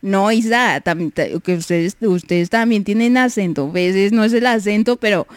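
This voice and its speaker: A woman's voice: mid-range voice, normal speed, no echo. A young Mexican woman hosting a livestream, chatting casually, reading messages and giggling often. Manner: giggly, chatty, many fillers. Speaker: livestream host.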